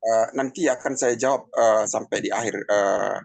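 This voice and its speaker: Gravelly man in his fifties, halting speech. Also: lowish voice, fairly even intonation, no room sound. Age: 50s. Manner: halting, many pauses and fillers. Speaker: testimony speaker. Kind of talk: personal testimony, conversational.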